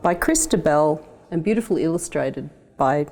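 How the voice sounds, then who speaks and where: Australian woman reading children's storytime, slightly low voice. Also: lively intonation, normal speed, darkish tone, faint music behind. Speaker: education officer reading a picture book. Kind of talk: children's storytime read-aloud.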